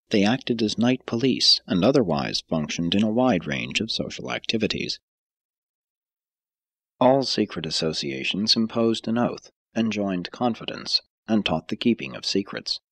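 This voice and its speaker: Low, husky male audiobook narrator. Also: a bit bright, no room sound. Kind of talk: scripted narration.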